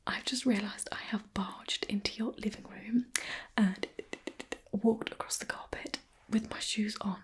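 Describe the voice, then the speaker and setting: A woman playing a jealous, clingy, teasing ex-girlfriend in an ASMR roleplay, in a soft breathy whisper close to the microphone. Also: medium pitch, steady tone. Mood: coy. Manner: slow, lingering, teasing delivery.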